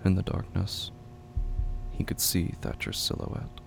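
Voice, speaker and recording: A man narrating a scripted horror story in short, measured phrases with hushed suspense. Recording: noisy track with low rumble.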